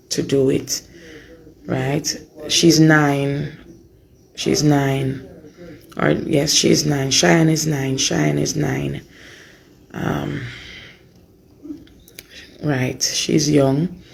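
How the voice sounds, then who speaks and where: A Jamaican woman speaks informally and earnestly to her supporters. Her voice is hoarse and creaky, and she goes slowly, repeating phrases to make her point. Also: low-pitched, flat delivery. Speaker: mother giving an update on her daughter. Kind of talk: informal spoken update to supporters.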